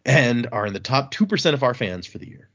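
A man hosting a podcast, chatting casually and reading listener emails aloud in a relaxed, halting way. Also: medium pitch, highs cut off. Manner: relaxed, halting, with restarts and fillers. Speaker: podcast host.

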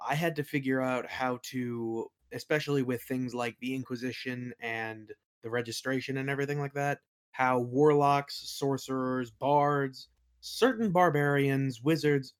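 A man's voice: medium pitch, fairly even intonation, normal speed, clear, resonant tone. A man speaking as an interview guest, explaining things in a casual, reflective way. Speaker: interview guest, game world builder.

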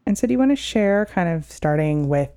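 A woman hosting a podcast, reading her scripted welcome in a steady, measured way. Her voice sits low, with a gravelly fry and a dark, mellow tone. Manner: reads a prepared welcome in even, measured sentences. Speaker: podcast host.

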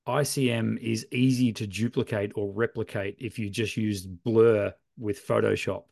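A man with an Australian accent, hoarse and croaky, co-hosting a casual photography podcast and rambling enthusiastically. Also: lowish voice, fairly even intonation, measured pace, darkish tone. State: hoarse from overuse. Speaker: podcast co-host. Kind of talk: casual chat.

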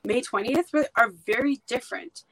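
A woman's voice: fairly high pitch, moderate pace, a bit bright, slightly rough, no room sound, low-quality recording. A woman whose first language is Hebrew, talking bluntly and emphatically as a guest in a casual podcast conversation.

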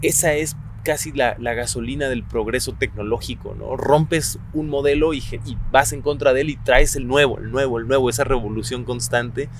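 Guy interviewing someone, medium voice, thinks out loud and keeps adding little tag questions, faint street noise. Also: medium pitch, normal speed, hardly any pauses. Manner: reflective, muses aloud and tags questions with "¿no?". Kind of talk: casual conversation.

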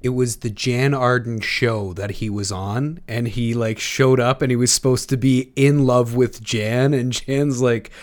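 A man in casual podcast chat, telling a story in a halting, profane way. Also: medium pitch, fairly even intonation.

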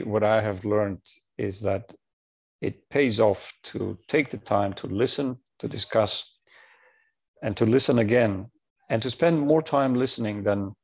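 A man in his sixties, an engineer, talking in a measured, thoughtful way with many pauses, on a remote audio call. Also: on the low side, a little mellow. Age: 60s. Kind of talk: reflective conversation.

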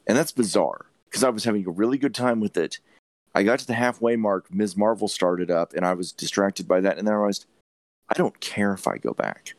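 A man's voice: on the low side, brisk, no room sound. A man hosting a podcast, discussing films casually in an emphatic, opinionated way and swearing freely. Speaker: podcast host.

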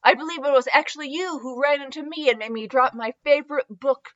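A woman's voice: sits high, fairly quick, fairly bright tone, lo-fi sound. A woman voicing a laid-back, dryly unbothered male ninja operative in a story reading.

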